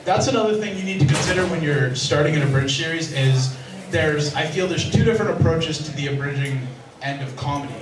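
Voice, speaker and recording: A man explaining things informally on a panel, recorded from a distance in a noisy, echoing room. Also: a little high. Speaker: convention panelist, fan-dub creator.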